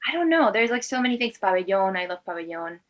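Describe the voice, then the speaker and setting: A woman's voice: mid-range voice, big pitch swings, quick pace. A woman in her twenties from Venezuela, a native Spanish speaker, answering interview questions conversationally. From Venezuela and Latin America. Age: 20s. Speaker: interview guest, actor and filmmaker.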